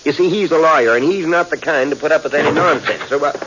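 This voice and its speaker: Actor as folksy western town doctor, hissy radio. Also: male voice, a little high, fast, natural voice. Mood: blustery. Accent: rural American West. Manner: folksy, insistent, grumbling. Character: folksy, fretful small-town western doctor, pushy male booster. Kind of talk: old-time radio western acting. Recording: dull, hissy old radio recording.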